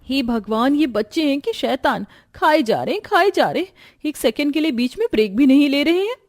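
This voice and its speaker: A high, whiny young daughter-in-law from an Indian family cartoon, acted by a woman. She complains melodramatically and sounds put-upon and exasperated. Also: lively intonation, moderate pace. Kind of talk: Hindi cartoon voice acting.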